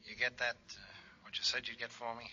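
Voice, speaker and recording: Shifty petty crook, 1940s radio drama: forceful sharp tone, stammering evasions, dull archive recording. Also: male voice, medium pitch, monotone, brisk. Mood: shifty, stalling. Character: nervous small-time crook and ex-convict, evasive shakedown artist.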